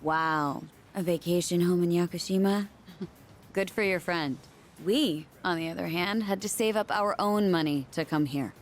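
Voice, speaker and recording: A woman's voice: fairly low pitch, moderate pace, slight background noise, lightly acted. An actress voicing an aloof, prickly young working woman in a video game, with a blunt, dismissive manner. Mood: irritated, sarcastic. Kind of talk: English video game voice acting.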